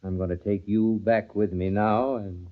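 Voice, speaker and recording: An actor playing a coldly possessive, menacing wronged husband in an old radio thriller, speaking deliberately and ominously. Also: male voice, lowish voice, fairly even intonation, close to an everyday voice. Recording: thin, phone-like old radio recording.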